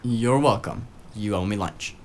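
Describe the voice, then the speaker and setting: Man playing a cheeky, lowish-voiced detective's sidekick. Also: a little slow, a little hiss or hum. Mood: teasing. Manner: breezy, casual banter. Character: cheeky, eager male tech-savvy investigator sidekick. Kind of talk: noir audio drama acting.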